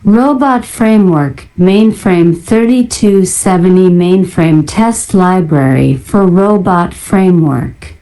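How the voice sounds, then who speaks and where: A woman narrator doing a deliberate ASMR-style read-out of listed items in a flat manner. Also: lowish voice, rough recording. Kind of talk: ASMR reading of a list of software libraries.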